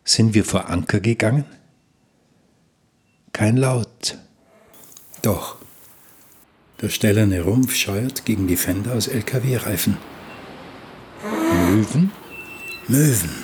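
A man's voice: fairly low pitch, slow pace, long pauses, darkish tone, a little hiss or hum. A man playing a bewildered, half-dreaming traveller alone on a ship in a radio play. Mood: uneasy, searching. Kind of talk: slow, fragmented radio play monologue.

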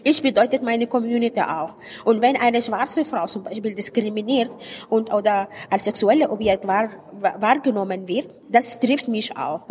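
A woman from Sudan, a native Arabic speaker with an Arabic accent, gives reflective interview answers in a searching, emphatic way. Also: on the high side. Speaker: interviewed writer and poet. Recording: reverberant hall, clear background noise.

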